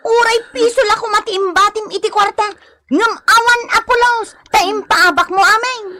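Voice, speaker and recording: Casting: nagging wife of a gambler, high-pitched and exasperated, radio comedy. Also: female voice, fairly even intonation, moderate pace, bright, forceful tone. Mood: scolding. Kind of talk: Ilocano comedy radio drama acting.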